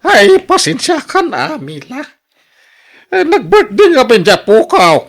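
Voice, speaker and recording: A woman's voice: sits high, wildly swooping pitch, unhurried, exaggerated voice. A woman with an Ilocano accent playing a genial older uncle in a radio drama, apologetic and placating. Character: genial older uncle, employer who hosts drinks.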